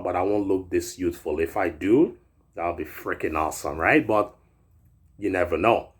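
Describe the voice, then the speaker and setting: Thirties male fitness vlogger from Europe, low-leaning voice, casual pep talk to camera. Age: 30s. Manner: chatty, repeats "right?" often. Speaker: fitness YouTuber. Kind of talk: casual motivational vlog talk.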